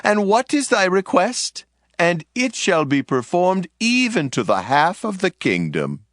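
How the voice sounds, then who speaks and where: A man's voice: high voice, wildly swooping pitch, a little slow, fairly bright tone, no room sound, studio quality, full character voice. An actor playing a commanding ancient king in a dramatized scripture reading, speaking with regal authority.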